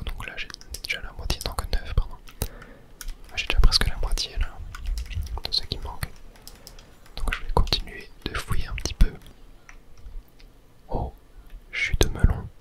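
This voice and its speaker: A man whispering soft, casual ASMR commentary to viewers while playing a video game, close to the microphone.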